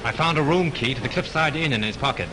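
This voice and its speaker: An actor playing a sharp male mystery writer who lays out a murder case with brisk confidence, in 1930s film style. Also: on the high side, lively intonation, noisy background, natural voice. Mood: confident. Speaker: mystery writer turned sleuth.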